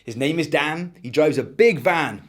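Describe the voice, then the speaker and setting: A man's voice: on the high side, expressive, moderate pace, close to an everyday voice. A man voicing a kindly, modest baker in a children's story, in a plain, friendly way.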